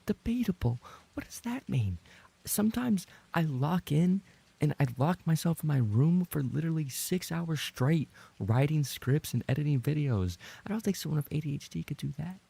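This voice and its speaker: A man's voice: on the high side, expressive, moderate pace, vocal fry, mellow, breathy edge. A man livestreaming and chatting casually with his viewers, bantering in a playful, mock-indignant way. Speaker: live streamer and voice actor.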